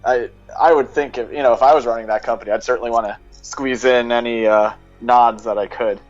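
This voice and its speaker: A man's voice: mid-range voice, steady tone, a little fast. A man chatting casually as a guest in a film discussion. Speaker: podcast guest co-reviewer. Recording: dull lo-fi audio, faint music.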